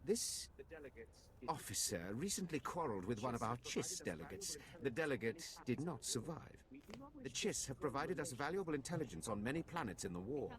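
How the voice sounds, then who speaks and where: An actor playing a scheming, deferential Imperial officer giving a formal, conspiratorial briefing. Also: male voice, mid-range voice, big pitch swings, brisk, a crowd in the background, close to an everyday voice.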